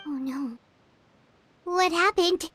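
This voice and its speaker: Very high, squeaky little companion creature. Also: female voice, slow pace, sharp tone, exaggerated voice. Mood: worried. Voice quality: squeaky, childlike. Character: tiny, childlike, curious, earnest companion creature. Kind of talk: anime-style video game voice acting. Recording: music playing behind the voice. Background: background music.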